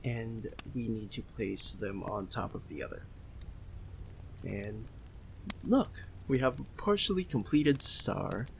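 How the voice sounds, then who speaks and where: A man playing a slow-talking, giddy young friend. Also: lowish voice, vocal fry, slight background noise, heavily acted. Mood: cheerful, instructing. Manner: giggly, hesitant, softly excitable. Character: giddy, homesick young male Filipino friend, sweet and apologetic. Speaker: audio roleplay performer. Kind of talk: ASMR roleplay, casual friendly chat.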